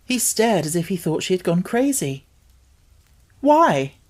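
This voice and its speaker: A woman's voice: fairly low pitch, animated, moderate pace, warm tone. A woman reading a scripted story as an audiobook narrator.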